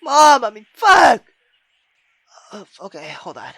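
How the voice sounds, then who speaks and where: A male gaming YouTuber with a bright, fairly high and very animated voice. He reads a visual novel dramatically and breaks into excitable, sweary commentary. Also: slow, gravelly, slightly rough, no room sound. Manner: expressive, theatrical, sweary.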